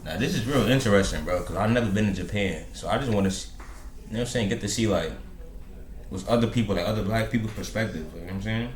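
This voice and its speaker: A man's voice: lowish voice, quick pace, echoey room, slight background noise. Laid-back slangy man reacting. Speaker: reaction host. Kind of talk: casual reaction commentary.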